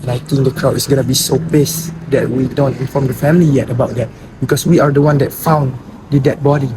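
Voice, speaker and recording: A man's voice: mid-range voice, steady tone, a little fast, close to an everyday voice. A man in an amateur play acting a sorrowful, fearful grandfather of eighty-three, speaking in solemn monologue. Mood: troubled, hesitant. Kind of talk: amateur student stage play performance. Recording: very echoey room, distant mic, faint noise.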